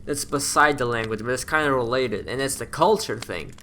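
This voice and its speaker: A man's voice: mid-range voice, expressive, brisk, pushed and bright, a little hiss or hum. A young man co-hosting a podcast in casual chat, often trailing off and restarting his sentences. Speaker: podcast co-host.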